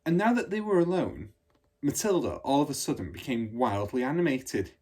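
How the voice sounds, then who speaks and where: A man reading a children's story aloud as expressive scripted narration. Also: medium pitch, normal speed. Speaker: storybook reader.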